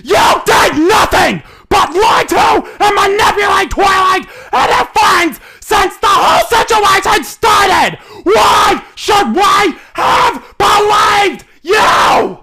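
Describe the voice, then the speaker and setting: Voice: extremely high pitch, animated, takes it slowly, gravelly, few pauses, raised voice, a loud crowd, very noisy, voice pushed up, heavily acted. An amateur reader voicing a stern, angry stallion prince and protective older brother, accusatory and emphatic, in a fan fiction reading. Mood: furious, shouting.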